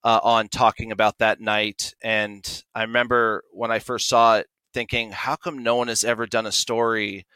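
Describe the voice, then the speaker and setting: A man's voice: on the low side, level tone, normal speed, few pauses, pushed and bright, clear, resonant tone, no echo, poor audio. A man in his forties speaking conversationally as an interview guest, explaining his creative work with enthusiasm. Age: 40s. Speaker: guest, audio drama producer.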